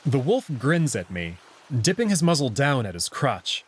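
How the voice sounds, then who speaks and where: Expressive man narrating, slightly rough voice. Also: medium pitch, normal speed. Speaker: narrator reading a visual novel aloud. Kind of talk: scripted first-person story narration.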